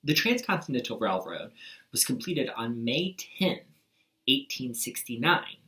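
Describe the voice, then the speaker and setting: Very low, bright-toned female teacher. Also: big pitch swings, a little slow, far from the mic, poor audio. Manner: clear and explanatory, speaking to pupils. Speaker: teacher presenting a school news segment. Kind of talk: informative school announcement. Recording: voice a little away from the mic.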